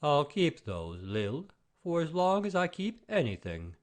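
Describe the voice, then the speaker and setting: Man playing a slow-spoken, proud, sullen gentleman. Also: on the high side, sing-song. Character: proud, sullen gambling husband and father.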